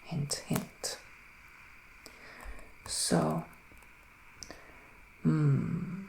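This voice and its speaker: Man playing a hushed, creaky male woodland witch. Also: on the high side, steady tone, echoey room, in a whisper, full character voice, poor audio. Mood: hushed, musing. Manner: slow, hushed, musing, with drawn-out pauses. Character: gentle, musing male psychic witch living in woods. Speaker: ASMR performer giving a psychic reading. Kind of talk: ASMR roleplay, soft whispering.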